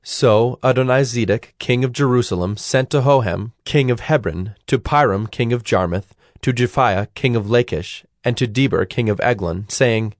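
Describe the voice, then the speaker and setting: A man narrating a dramatized audio Bible as a scripted storyteller, in a measured, solemn manner. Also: mid-range voice, no room sound, clean studio sound.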